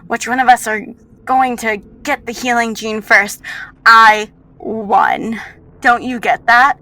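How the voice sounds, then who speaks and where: A woman's voice: a little high, pushed and bright, full character voice. Woman playing a cruel, taunting female scientist villain. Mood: gloating. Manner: condescending, drawn-out taunts.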